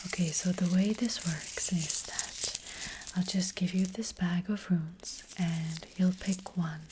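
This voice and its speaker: A woman playing a gentle, hesitant witch fortune teller in an ASMR roleplay, speaking in a breathy, hushed whisper. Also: fairly low pitch, steady tone. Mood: gentle, mysterious. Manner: slow, hushed, hesitant, unhurried. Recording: close-up with faint background noise.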